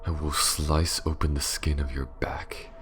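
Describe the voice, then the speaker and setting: Man playing a slow, very deep vampire lord. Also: flat delivery, fairly bright tone, faint music behind. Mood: cold threat. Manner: slow, theatrical, sinister, often dropping to a whisper. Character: menacing aristocratic male vampire lord, sadistic and possessive. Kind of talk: ASMR villain roleplay.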